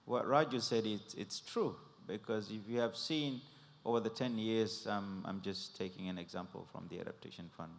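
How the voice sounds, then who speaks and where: Halting man questioning from the floor. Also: lowish voice, vocal fry. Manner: halting, many fillers, searching for words. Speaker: audience member asking a question. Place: conference hall. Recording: long reverb in a hall.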